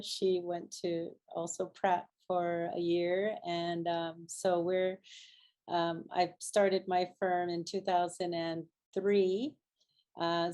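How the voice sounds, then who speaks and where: A middle-aged woman from Hawaii gives a lecture over a video call. Her voice sits a little low, and she talks in a halting way, filling gaps with ums and restarting words as she goes. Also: a little mellow. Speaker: guest lecturer, architect.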